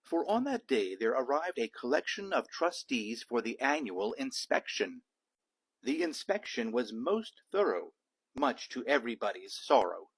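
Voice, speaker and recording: A man reading a children's picture book aloud as a dad would, in measured, rhythmic rhyme. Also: mid-range voice, big pitch swings, slightly rough, rough recording. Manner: measured, rhythmic rhyming delivery. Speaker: read-aloud storyteller, a dad.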